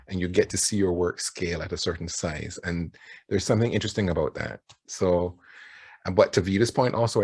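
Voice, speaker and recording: Low-voiced Barbadian man telling his story. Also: breathy edge. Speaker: panelist, advertising creative. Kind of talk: conversational personal storytelling on a panel.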